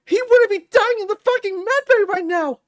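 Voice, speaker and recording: A woman voicing a furious, defiant young male fighter in a visual novel, shouting, swearing and confrontational. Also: very high-pitched, normal speed, no room sound, clean studio sound, pitched above the performer's own voice.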